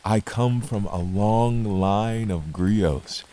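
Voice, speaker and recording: An actor playing a friendly West African village drummer and storyteller's son, gracious, measured and welcoming, in an audio drama. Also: male voice, fairly low pitch, dark tone, slight background noise.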